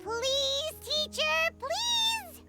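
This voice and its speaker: Super high squeaky little fairy girl for a game, cheeky n whiny, bouncy delivery, music behind. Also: big pitch swings, very slow, exaggerated voice. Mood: begging. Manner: refers to self in third person, whiny pleading. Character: tiny excitable floating fairy companion girl, childish and cheeky. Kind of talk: video game voice acting.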